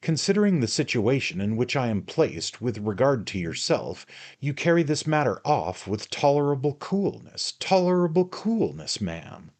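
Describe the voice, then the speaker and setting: A man reading aloud and voicing an excitable, touchy middle-aged suitor who turns shrill and accusing. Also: moderate pace, hardly any pauses, close to an everyday voice. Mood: indignant, sneering.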